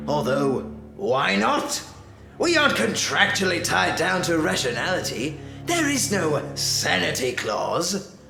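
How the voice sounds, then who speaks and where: A man's voice: high voice, vocal fry, full character voice. A man playing a gleeful, menacing mad villain clown in a radio drama, grandiose and taunting, given to cackling. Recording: echoey amateur recording under loud music.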